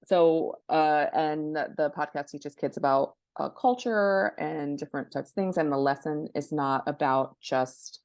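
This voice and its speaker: A woman with a notably low voice speaks as an expert guest in an interview. She answers conversationally, often rephrasing mid-thought. The sound is dry, with almost no room reflection. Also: a little slow, few pauses, a little mellow, no room sound. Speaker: guest expert, book marketing professional.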